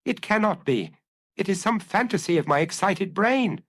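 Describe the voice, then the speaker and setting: A man voicing a cocky but rattled gentleman thief who talks to himself in a theatrical, self-mocking way in an audiobook. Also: sits high, animated, moderate pace, voice pushed up. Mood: horrified disbelief.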